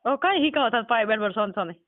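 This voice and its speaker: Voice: mid-range voice, expressive, a little fast, dry recording. A person with a Rajasthani accent speaking informally on a phone call, asking insistent, repeated questions. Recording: muffled band-limited phone call recording.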